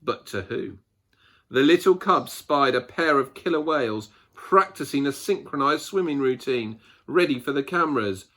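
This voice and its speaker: A man reading a picture book aloud to children, expressively, with dramatic pauses and emphasis. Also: medium pitch, animated, bright, forceful tone. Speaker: children's storyteller.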